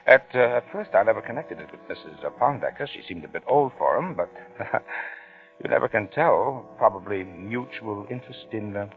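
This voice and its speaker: A man's voice: lowish voice, expressive, normal speed, close to an everyday voice. An actor playing a talkative male witness, hesitant and knowing, in old-time radio suspense style. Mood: hesitant, sly. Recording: tinny old radio recording with music.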